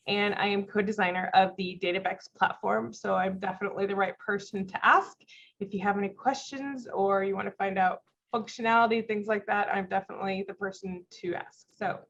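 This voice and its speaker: A woman with a congested voice from a cold and a slight cough, presenting a software webinar in a friendly, explanatory way with frequent ums. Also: mid-range voice. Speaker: company vice president presenting a software webinar. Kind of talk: live product demo webinar. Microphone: video call mic. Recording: dull lo-fi video call audio.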